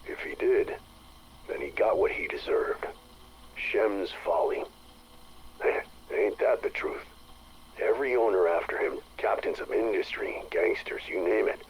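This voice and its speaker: An actor playing a gruff, uneasy chief of security musing in a game audio log, plainspoken and wry. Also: male voice, lowish voice, a little slow. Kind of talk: recorded audio log monologue. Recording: noisy audio log tape.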